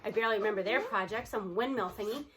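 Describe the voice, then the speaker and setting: A woman reading a children's novel aloud in an expressive storybook style, acting out the dialogue. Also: mid-range voice, fast. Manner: animated, acts out the characters' dialogue.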